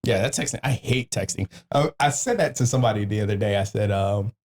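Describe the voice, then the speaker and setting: A man's voice: lowish voice, fast talker, a little mellow. A man in a casual podcast chat, blunt and slangy, swearing freely and acting out the conversations he quotes. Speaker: podcast host.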